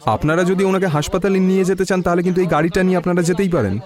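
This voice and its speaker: Man acting a mellow, thoughtful young detective. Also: high-pitched, racing, close to an everyday voice. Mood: polite, curious. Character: young male detective, thoughtful, inquisitive and polite. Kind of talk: audio drama acting.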